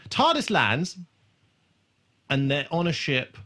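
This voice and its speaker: A man's voice: a little high, big pitch swings, slow pace, sharp tone. A man co-hosting a podcast, casually recapping a TV episode in an irreverent, sweary way. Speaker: podcast host.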